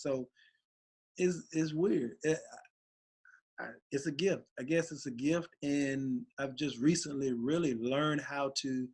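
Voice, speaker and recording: A man, a rapper guest, talking casually and excitedly in an interview, rambling with many restarts. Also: mid-range voice. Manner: animated, rambling, voice gets raspy when excited.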